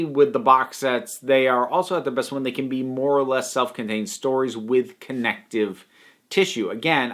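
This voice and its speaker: A man's voice: medium pitch, a bit bright. A man giving an opinionated, conversational review, stressing key words emphatically. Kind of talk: opinionated audio drama review to camera, conversational.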